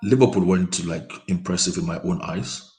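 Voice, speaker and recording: A man with a very low voice talks football in a relaxed chat. His speech halts often, full of repeated words and ums. He comes through a quiet microphone on an online call. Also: normal speed, faint music behind. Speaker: panel guest. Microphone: remote call mic, quiet.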